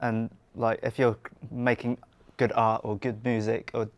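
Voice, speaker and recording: A man's voice: medium pitch, flat delivery, unhurried, no echo. A man hosting a casual talk, speaking earnestly and steadily with some fillers. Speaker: podcast host and community organizer. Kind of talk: casual podcast conversation.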